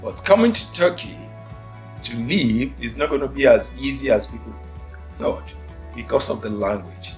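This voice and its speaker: A man in his forties from Nigeria, a native Yoruba speaker, telling his life story in an interview with unhurried, thoughtful pauses. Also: mid-range voice, animated. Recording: faint music behind.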